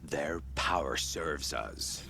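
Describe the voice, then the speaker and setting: A man's voice: rumbling low, slow pace, gravelly, noisy background, full character voice. A man playing a menacing, grandiose cult leader in a video game, speaking ominously. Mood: ominous, exultant. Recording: loud music behind the voice.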